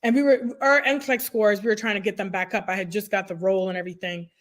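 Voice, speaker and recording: A woman in her thirties whose first language is French, telling stories as an energetic guest on a podcast recorded over a video call from home. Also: mid-range voice, fast. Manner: energetic storytelling, expressive hands. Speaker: guest, nurse career coach. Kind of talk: conversational podcast interview. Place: home room, indoors. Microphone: desk microphone on video call.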